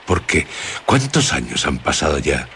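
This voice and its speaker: An actor playing an ominous, insistent storyteller in a radio drama, speaking theatrically with suspenseful pauses. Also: male voice, rumbling low, very animated, gravelly, bright, forceful tone, a little hiss or hum, full character voice. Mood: insistent, coaxing. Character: ominous, insistent male storyteller coaxing out dark secrets.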